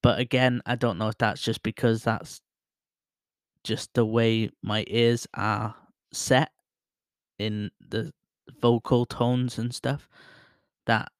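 A man talking close into a studio microphone at his home desk, in a dry, dead-sounding space with no room echo. His voice sits at an everyday middle pitch and carries a soothing tone. He rambles through a casual review, laughs often and pokes fun at himself. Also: steady tone, slow, long pauses. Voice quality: voice others call soothing. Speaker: tech reviewer testing a microphone. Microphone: close studio microphone with headphones.

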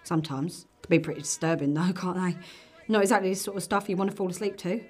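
A woman playing a polite but wary barmaid in a dark comedy audio drama. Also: lowish voice, fast, faint music behind, lightly acted. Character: guarded, polite female barmaid deflecting advances.

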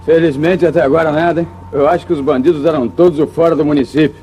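Um ator brasileiro interpretando um motorista de jipe nervoso e falante em radionovela de faroeste dos anos 1950. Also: male voice, fairly high pitch, fairly even intonation, fast talker, warm tone, a little hiss or hum, lightly acted. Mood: uneasy chatter. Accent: Brazilian. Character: nervous chatty jeep driver escorting money. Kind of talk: 1950s radio western acting.

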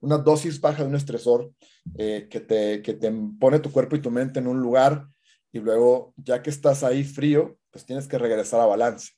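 A man's voice: medium pitch, normal speed, warm tone. A man, an instructor explaining patiently in an interview, full of fillers and restarts. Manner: hesitant, many restarts and fillers. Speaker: certified cold-exposure instructor. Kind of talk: conversational interview answer.

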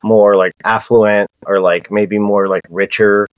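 A man's voice: on the low side, level tone, unhurried, clean tone, no echo, lo-fi sound. A man, telling his life story in a loose, casual way with many fillers and restarts. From Southern California. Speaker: podcast guest.

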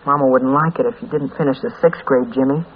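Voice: mid-range voice, steady tone, quick pace, natural voice. An actor plays a young orphan boy who acts as head of the family, earnest and folksy. He speaks in old-time radio drama style, reassuring but resolute. The sound is thin and boxy, with faint hiss. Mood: firm, reassuring. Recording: scratchy, tinny 1940s radio recording.

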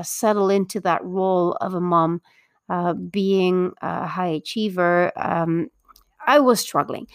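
A middle-aged woman from Belgium hosting her own podcast as a parenting coach, talking earnestly and upbeat with many filler pauses. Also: medium pitch, clean tone, no room sound, clean studio sound. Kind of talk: solo podcast introduction.

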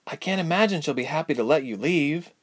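A man's voice: fairly high pitch, animated, brisk, voice pushed up. A man voicing a guarded adult fantasy woman, earnest and terse, in a storybook reading. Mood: worried, urgent.

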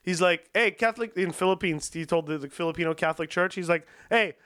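A man's voice: sits high, quick pace, a bit bright, smooth, no room sound, clean studio sound. A man ranting in casual, profane talk on a podcast, rambling and swearing a lot. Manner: rambling, swears a lot, acts out quoted people.

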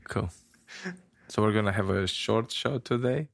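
A man from Romania co-hosting a casual chat, with a rough voice today, talking haltingly with fillers and a self-deprecating streak. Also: lowish voice, no room sound. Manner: halting, many fillers and restarts, laughs often. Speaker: podcast co-host.

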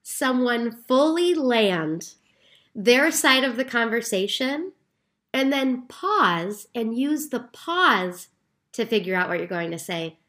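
A woman co-hosting a podcast in calm, explanatory casual chat. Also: fairly high pitch. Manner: calm, explanatory, recaps steps. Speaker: podcast co-host.

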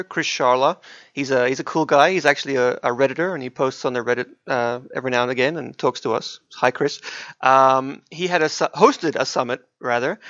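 A man's voice: medium pitch, a bit bright. A man co-hosting a gaming podcast, chatting casually in a rambling, conversational way. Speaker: podcast co-host. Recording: dull, roomy sound.

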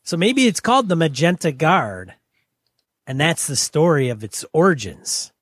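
A man with a fairly high voice tells stories in a playful, teasing way on a gaming podcast. His voice sits close to the mic in a dry recording with no room sound. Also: big pitch swings, normal speed, a bit bright, husky, no room sound. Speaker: podcast co-host. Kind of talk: casual podcast chat.

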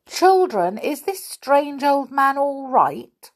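Woman voicing a wary storybook mother, slow-paced. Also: sits high, very animated, voice pushed up, exaggerated voice. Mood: wary, puzzled. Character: busy, wary, polite mother of young children. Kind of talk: children's storybook character voice.

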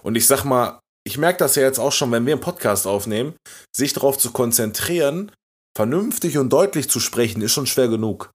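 A man's voice: mid-range voice, animated, fairly quick, husky. A laid-back man co-hosts a podcast, with an ordinary mid-range voice. He laughs easily and agrees readily. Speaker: podcast co-host. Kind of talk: casual chat.

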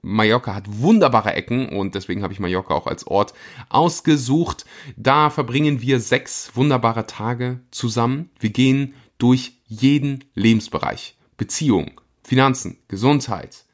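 A man of middle pitch hosting his own podcast. He talks casually and with plenty of energy, pushing ahead and then slowing down to stress a point. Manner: energetic, enthusiastic, speeds up and slows for emphasis. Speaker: podcast host.

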